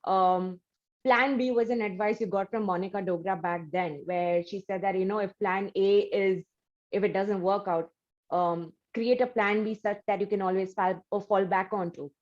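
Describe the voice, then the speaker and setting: A woman from India hosts an interview at a middle pitch and builds long questions full of "uh" and "you know". Her audio sounds a little dull and lo-fi. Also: fairly even intonation, moderate pace, darkish tone. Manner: rambling, many fillers and "you know". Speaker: interview host.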